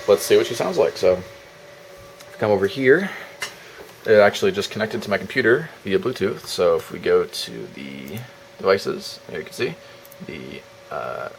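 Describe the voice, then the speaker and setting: Low-voiced man casually demoing a DIY build. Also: slight background noise, poor audio. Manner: informal, thinking aloud while handling gear. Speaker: DIY builder demonstrating his project. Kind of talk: casual off-the-cuff demo talk.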